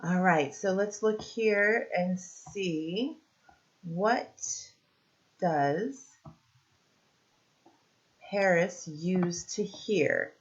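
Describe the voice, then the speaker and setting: A woman teacher leading a read-aloud lesson for young children, asking simple questions, pausing for answers and encouraging them. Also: lowish voice, highs cut off.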